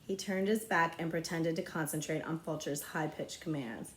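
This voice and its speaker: A woman narrating a children's novel aloud as a librarian would, with expressive storytelling. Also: sits low, normal speed, slight background noise. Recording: echoey, roomy sound.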